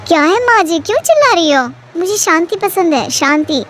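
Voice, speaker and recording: A woman's voice: extremely high pitch, expressive, normal speed, fairly bright tone, faint music behind. A woman voicing an irritable young daughter-in-law with a curt manner in a family story. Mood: irritated.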